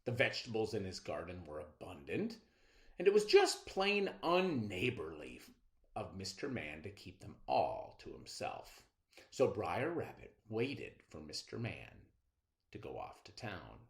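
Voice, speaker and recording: A man narrates a children's tale aloud. He is unhurried, with deliberate phrasing. His pitch rises and falls dramatically, very much a storyteller's expressive delivery. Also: vocal fry.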